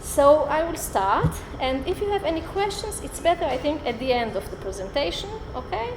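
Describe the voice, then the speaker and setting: A woman's voice: high-pitched, moderate pace. Israeli woman lecturing in an echoey hall. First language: Hebrew. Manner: animated, gestures a lot, many "uh" fillers. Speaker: college lecturer. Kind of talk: spontaneous guest lecture. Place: lecture room. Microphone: far camera. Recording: roomy hall with background noise.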